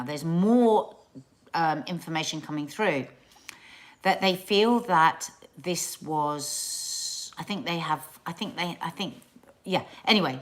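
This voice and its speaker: A woman's voice: deep voice, a bit bright. Chatty middle-aged woman livestreaming. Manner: chatty, trails off and restarts. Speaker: livestream host. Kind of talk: casual livestream chat.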